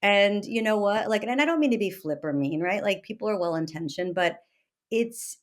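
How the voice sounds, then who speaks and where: A woman in her early fifties from Texas talking conversationally as a podcast guest, emphatic and often halting to correct herself. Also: mid-range voice, lively intonation. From Texas, United States. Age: early 50s. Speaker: podcast guest, author and meditation teacher. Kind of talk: conversational interview, personal storytelling.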